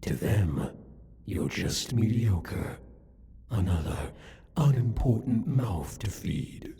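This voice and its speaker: Male actor as demon king, ASMR roleplay: low-pitched, very breathy, haughty, possessive, mocking, savoring each deliberate line. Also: expressive, mellow, full character voice. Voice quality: breathy, husky. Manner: taunting, condescending, deliberate, theatrical. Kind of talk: ASMR roleplay, addressed to the listener.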